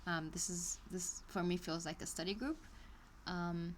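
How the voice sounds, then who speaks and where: Lower-voiced woman streaming her study session. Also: fairly even intonation, unhurried, slight background noise. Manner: reads course text aloud, breaking off into chatty asides and small laughs; hesitant, many ums and restarts. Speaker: live streamer working through an online course. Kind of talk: casual live-stream reading with commentary.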